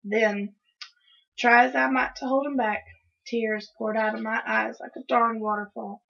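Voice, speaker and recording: A woman's voice: on the high side, steady tone, moderate pace, creaky, fairly bright tone, slightly rough, highs cut off. A woman reading a children's story aloud, narrating expressively in the first person. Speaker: storybook reader.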